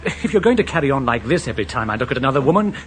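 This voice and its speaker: An actor playing a defensive, irritable young newlywed husband, exasperated and placating, in a radio drama with faint hiss. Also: male voice, mid-range voice, sing-song, rapid-fire, close to an everyday voice.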